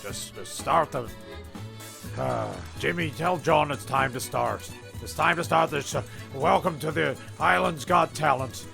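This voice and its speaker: A man's voice: a little high, unhurried, vocal fry, over music. A man narrating a comic audio story, rambling with sweary asides. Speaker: narrator and creator.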